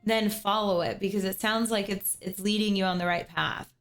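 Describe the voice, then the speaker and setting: A young woman talks earnestly on a livestream, stumbling and repeating words as she works through her advice. Her pitch sits in the middle of the range. Faint music plays behind her. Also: fairly even intonation, moderate pace. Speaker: streamer host giving advice. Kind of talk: casual advice chat on a livestream.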